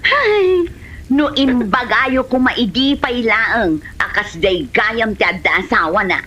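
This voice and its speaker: Woman acting high, brash Ilocano village wife. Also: animated, slow, bright, forceful tone, exaggerated voice. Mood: aggrieved. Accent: Filipino, Ilocano. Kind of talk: amateur comedy drama acting. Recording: dull lo-fi audio, music behind.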